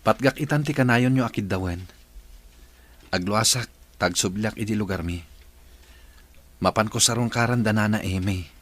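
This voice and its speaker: Lalaking gumaganap na tapat na binata. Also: male voice, lowish voice, moderate pace, pauses often, a bit bright. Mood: earnest. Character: grown young man, earnest and grateful. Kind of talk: radio drama acting.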